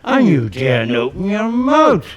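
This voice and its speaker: A man's voice: sits high, huge pitch swings, crowd noise, full character voice. A man reading the part of a world-weary man lamenting a country at war. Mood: bitter. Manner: slow, declamatory, theatrical stage reading.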